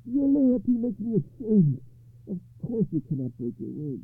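A man's voice: high-pitched, sing-song, normal speed, breathy edge, voice pushed up, heavily acted. A man voicing a nervous, ashamed king who speaks hesitantly and pleadingly in a told legend. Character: anxious, ashamed king, uncle of the knight. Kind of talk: storytelling character voice. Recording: muffled phone-like narrow-band sound.

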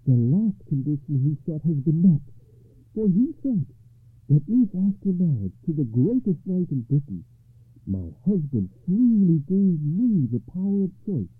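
Casting: man putting on a poised enchanted lady, slow and high, a bit husky, tinny phone-like sound. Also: big pitch swings, no echo, exaggerated voice. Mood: gentle, poised. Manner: slow, raised and deliberate. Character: enchanted noble lady, calm and wise. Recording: dry phone-like muffled sound.

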